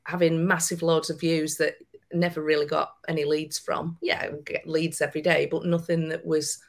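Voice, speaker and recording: Woman, Lancashire accent, low-pitched, gravelly voice, emphatic, encouraging podcast guest. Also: flat delivery, normal speed. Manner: encouraging, emphatic coaching advice. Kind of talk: podcast interview.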